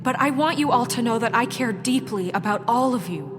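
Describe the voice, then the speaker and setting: An actress playing an earnest, composed deputy mayor in a video game, speaking formally and sincerely. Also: female voice, on the high side, expressive, brisk, lightly acted. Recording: echoing hall, loud music behind.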